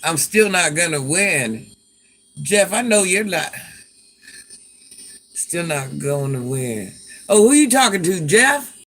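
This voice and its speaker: Deep-voiced granny streamer, sassy, bright forceful delivery. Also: female voice, big pitch swings, takes it slowly, long pauses. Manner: sassy, talks back to commenters by name. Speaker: livestream host. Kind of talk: casual livestream chat.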